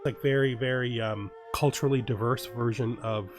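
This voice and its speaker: A man giving relaxed let's play commentary on a strategy game, thinking aloud and often restarting his sentences. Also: mid-range voice, normal speed, faint music behind. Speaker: gaming YouTuber.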